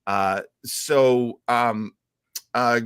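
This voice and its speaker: A man hosting a show, explaining in casual talk with a halting, thinking-aloud delivery. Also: medium pitch, fairly even intonation, fairly bright tone, clean tone, no echo, studio quality. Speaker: show host. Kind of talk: casual explanatory talk about old radio heroes.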